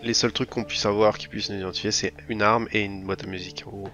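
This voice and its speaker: A low-voiced man voices his character at the gaming table in a loose, casual way, tripping over his starts and dropping slang. Faint music plays behind him. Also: level tone, quick pace, a bit bright. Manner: casual, stammering restarts, slangy. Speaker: player. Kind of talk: playing a character in a tabletop game.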